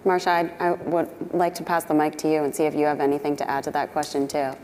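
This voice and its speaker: A woman's voice: sits low, level tone, fast, a little mellow. A woman moderating a panel discussion, upbeat and outgoing as she puts questions to the speakers. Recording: hall reverb with faint noise.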